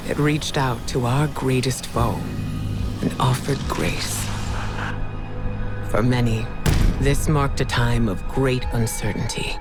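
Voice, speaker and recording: A man playing a reflective narrator of a war chronicle in a video game, measured and solemn. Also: mid-range voice, close to an everyday voice. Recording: loud music over narration.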